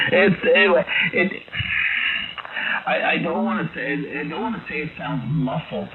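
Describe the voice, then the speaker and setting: A man chatting casually as an amateur radio operator, speaking haltingly with drawn-out pauses, heard over a radio link. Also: on the high side. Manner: halting, hesitant delivery with many drawn-out pauses. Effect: received over shortwave radio. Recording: narrow-band radio signal, faint static.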